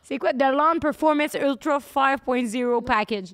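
A woman's voice: sits high, normal speed, a bit bright, no echo. A young woman with a Québécois French accent co-hosting a podcast, teasing with dry comebacks and reading sponsor spots into a handheld mic. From Quebec. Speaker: podcast co-host. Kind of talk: casual chat. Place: studio set on a couch. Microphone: handheld studio mic.

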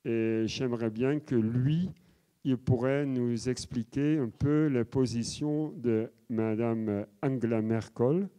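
This is an older man from the Netherlands, speaking with a Dutch accent, who stumbles along with many fillers as he asks his question. His voice is slow, dark and smooth. He holds a handheld microphone in a large conference hall. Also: mid-range voice. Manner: hesitant, many fillers, switches languages. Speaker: audience member asking a question. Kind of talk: halting public question in a debate.

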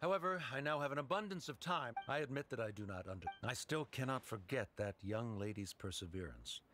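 A man's voice: mid-range voice, lively intonation, brisk, close to an everyday voice. An actor playing a stiff, middle-aged scholar father in a video game, formal and awkward in manner. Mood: reserved. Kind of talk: video game character acting. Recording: clear noise from game audio.

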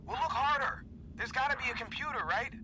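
Man, curt and impatient, a radio contact; high and sharp, faint noise. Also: brisk, full character voice, poor audio.

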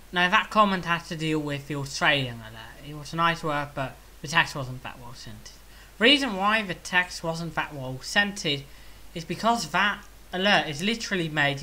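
A young man giving casual, unscripted video commentary in a halting way, thinking aloud. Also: a little high, sharp tone. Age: young adult. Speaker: video creator commenting on viewer feedback. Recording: hissy home recording.

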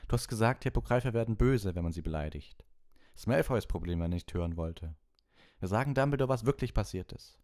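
A man's voice: lowish voice, lively intonation, brisk, a little mellow, voice pushed up, lightly acted. A man voicing a resolute, blunt and loyal schoolboy in a storybook, with a decided, straightforward manner. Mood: insistent.

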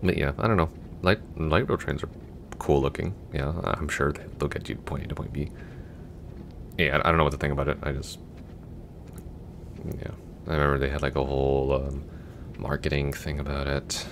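A man's voice: little pitch movement, moderate pace, long pauses, a little hiss or hum. A young man playing a chatty, goofy, affectionate long-distance boyfriend in a roleplay audio, talking casually in a rambling, hesitant way. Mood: chatty, mildly exasperated. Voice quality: very deep, gravelly.

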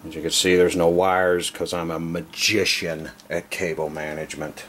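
A man with a low voice narrates a casual tour of a small room at home. His talk is folksy, salty and self-deprecating, full of easygoing slang. The handheld camera recording carries some faint background noise. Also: flat delivery, moderate pace, a bit bright. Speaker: video creator giving a room tour. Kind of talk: casual offhand vlog narration. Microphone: handheld camera, operated by the speaker.

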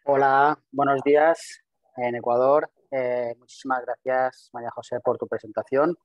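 A man in his thirties who is not a native speaker, giving a webinar presentation over a video call, quick and full of restarts and repeated words. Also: mid-range voice, little pitch movement, no room sound. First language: not Spanish. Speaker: webinar presenter, systems engineer. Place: home office with virtual background. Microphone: video call webcam.

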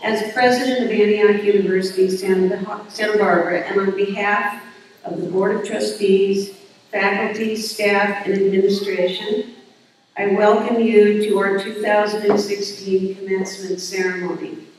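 A woman's voice: mid-range voice, fairly even intonation, normal speed, slight background noise. An older woman, a university president, giving formal commencement remarks from a podium with light self-deprecating asides. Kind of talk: commencement address. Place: large hall, at a podium on stage. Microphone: podium microphone. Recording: echoey hall, heard from a distance.

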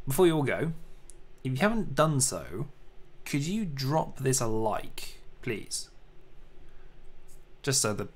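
A man talking his way through a livestream at an easy, unhurried pace. His voice sits at an ordinary middle pitch, and he keeps up a chatty, self-deprecating patter with frequent exclamations. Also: a little hiss or hum. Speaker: 3D modeling livestreamer. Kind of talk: casual livestream chat.

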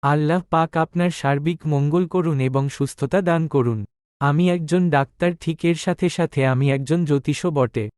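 A man acts as a shrewd village physician and astrologer in a cartoon moral tale. His voice is smooth and slightly high for a man, recorded dry and close. He speaks formally, with measured deference. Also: darkish tone, no room sound, lightly acted. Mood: courteous, assured. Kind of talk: animated moral tale dialogue.

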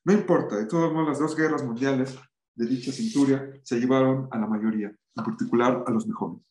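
A man's voice: mid-range voice, a little fast, darkish tone, roomy sound, slight background noise, poor audio. A middle-aged man giving a lecture over a video call, reading passages aloud and adding his own remarks with occasional restarts. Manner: reads aloud with asides and restarts.

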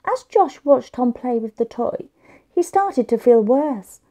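Slow, high-voiced woman storyteller. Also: animated, mellow. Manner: careful, storytelling delivery for young children. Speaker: children's story reader. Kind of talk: expressive read-aloud of a picture book.

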